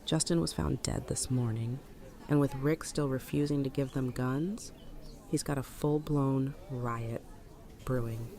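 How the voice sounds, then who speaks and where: A woman's voice: rumbling low, fairly even intonation, measured pace, warm tone. A woman playing a blunt, practical, seasoned survivor in an audio drama. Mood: grim. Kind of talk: fan audio drama acting.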